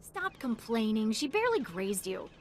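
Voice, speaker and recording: A woman playing a passionate, impatient creature researcher in a video game, lecturing briskly. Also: a little high, sing-song, slight background noise. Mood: indignant, enthusiastic.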